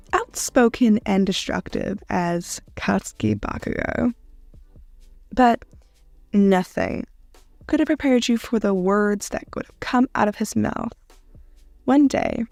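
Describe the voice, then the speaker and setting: A woman narrating a scripted second-person story in a dramatic manner with deliberate pauses. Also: mid-range voice, creaky, a little mellow, dry recording. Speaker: narrator of fan fiction audio.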